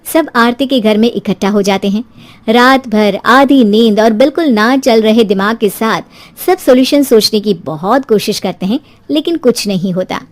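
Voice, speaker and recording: A woman's voice: a little high, moderate pace. A woman narrating a scripted horror story as a storyteller.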